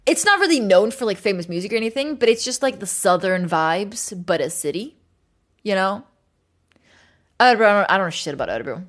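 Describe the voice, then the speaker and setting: A woman's voice: medium pitch, animated, brisk. A woman from Sweden chatting casually and informally to livestream viewers, rambling with plenty of filler words and some swearing. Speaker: livestream host.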